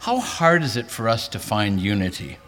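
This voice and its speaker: Male pastor, earnest sermon delivery, bright and forceful, reverberant convention hall. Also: big pitch swings, moderate pace. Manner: earnest, rising pitch for emphasis. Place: large hall, preaching to a convention.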